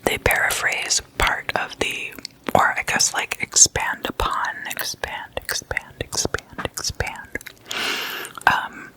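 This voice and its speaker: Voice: takes it slowly, rough recording. An ASMR host telling stories in a whisper, in a casual, gossipy, conversational manner.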